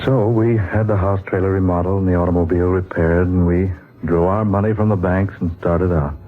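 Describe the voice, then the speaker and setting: An actor plays an earnest American writer and loving husband with a very low voice. He tells his tale in wry 1940s radio-drama style. The sound is narrow and tinny, like an old broadcast, with music behind. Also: steady tone, brisk, natural voice. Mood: reflective.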